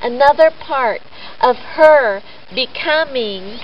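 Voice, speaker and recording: A woman telling a story to children in a simple, gentle teaching way, with pauses. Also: sits high, animated. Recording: roomy echo, dull sound, faint noise.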